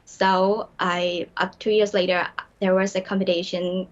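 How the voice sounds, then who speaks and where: A woman's voice: medium pitch, level tone, lo-fi sound. A young woman from Myanmar with a Burmese accent, speaking English as a second language as a guest in a broadcast interview, hesitant and full of fillers. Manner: hesitant, many fillers, halting non-native phrasing.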